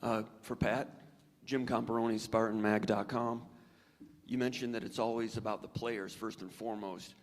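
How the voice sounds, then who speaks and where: A man's voice: fairly low pitch, steady tone, normal speed. A man, a sports reporter, asking a long, winding multi-part question at a press conference. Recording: long echoey hall reverb.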